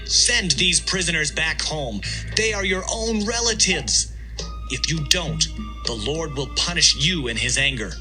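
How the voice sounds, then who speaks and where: An actor playing a stern, righteous prophet rebuking an army in a declamatory, admonishing manner for an audio Bible drama. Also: male voice, fairly high pitch, lively intonation, measured pace, bright, forceful tone, faint music behind, heavily acted. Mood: stern warning.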